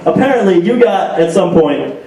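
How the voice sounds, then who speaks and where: A man's voice: high-pitched, big pitch swings, normal speed, mellow, recorded from a distance. A man hosting a live comedy talk show, bantering with a guest on an echoey club stage in front of an audience. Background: audience crowd.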